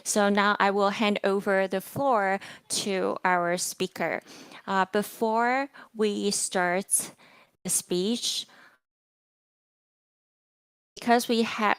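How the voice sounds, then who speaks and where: A woman hosting a lecture event, introducing it formally in a careful, halting way with fillers and restarts. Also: mid-range voice, steady tone, smooth, no echo. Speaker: event host.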